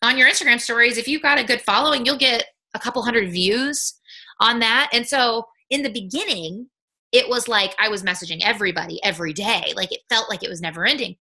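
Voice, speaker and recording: Bright-voiced chatty woman on a video call. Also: on the high side. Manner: chatty and upbeat, many quick restarts. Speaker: guest sales ambassador. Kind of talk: casual live-stream chat.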